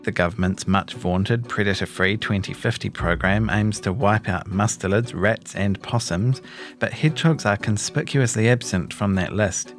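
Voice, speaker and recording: Low-voiced New man narrating a feature. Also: moderate pace, soft background music. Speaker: narrator reading a newspaper feature. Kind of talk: scripted narration of a news feature.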